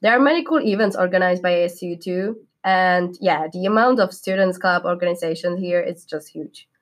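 Young woman, Czech accent, upbeat student guest, easy laugh. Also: on the low side. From Czech Republic. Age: young adult. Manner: upbeat, laughs often, careful non-native English. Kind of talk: casual podcast chat.

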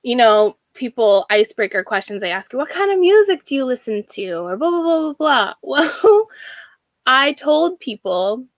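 A woman from Washington state with an American accent, giving a reflective personal monologue in a halting way with emphatic repeated phrasing. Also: high voice, sing-song, no room sound. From Washington state, United States. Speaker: speaker sharing a personal story.

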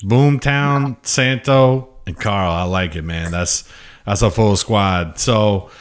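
A man hosting a podcast, interviewing a band in a relaxed, casual way and calling them "dude" and "man". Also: lowish voice, takes it slowly, clean tone. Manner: laid-back, says "dude" and "man" a lot. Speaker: podcast host. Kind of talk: casual interview over a video call.

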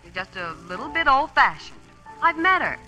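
Friendly wry american girl reporter, old radio show, highish, super bright, bouncy intonation. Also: sing-song, normal speed, lo-fi sound, faint music behind, heavily acted. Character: witty, friendly young female newspaper reporter. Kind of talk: old-time radio crime drama acting.

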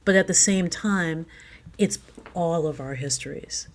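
A woman's voice: low voice, measured pace, a little hiss or hum. A woman speaking as a playwright guest in a conversational interview, earnest and reflective about her work.

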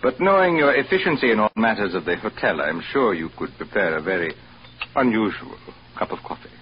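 A man's voice: lowish voice, sing-song, brisk. An actor playing a smug hotel boss who talks down to his deputy in a pompous, taunting manner, in old-time radio drama style. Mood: mocking. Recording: muffled, faintly noisy old radio recording.

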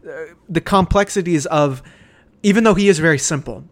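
Man, young, slightly high voice, casual podcast host, laughs a lot, swears. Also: normal speed. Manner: laughs often, swears freely. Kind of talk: casual chat.

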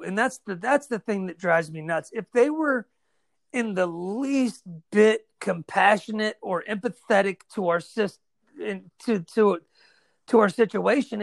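An American man hosting a casual interview podcast. He has a gravelly edge with a dry, close sound, and talks in a friendly, emphatic way. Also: high voice, no room sound. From United States. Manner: friendly, emphatic, says "man" and "brother" a lot. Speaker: podcast host.

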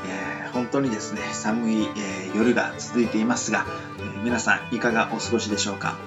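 A man's voice: brisk, loud music behind the voice. A man hosting a casual late-night radio talk show, speaking with many fillers. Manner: lots of filler words, lively rising pitch when excited.

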